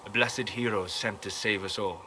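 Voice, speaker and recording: An actor playing a scholarly, courteous mage in a video game, speaking in a grave, formal and faintly lofty way. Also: male voice, lowish voice, steady tone, normal speed, pushed and bright, slight background noise. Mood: lofty, reflective. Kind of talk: video game character dialogue.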